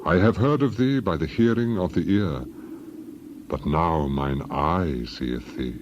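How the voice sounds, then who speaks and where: A man's voice: sits low, takes it slowly. An actor playing a humbled, repentant suffering man in a dramatized scripture reading, solemn and penitent. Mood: humble, remorseful. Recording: dull, noisy recording with ambience.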